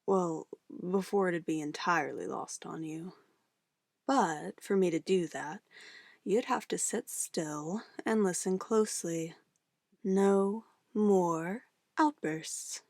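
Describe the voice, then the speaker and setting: A woman's voice: lowish voice, slow pace, a little mellow, no echo, studio quality. A woman playing an obsessive, controlling witch in an ASMR roleplay, a sweetly menacing lover who moves between coaxing endearments and firm commands. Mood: possessive, controlled.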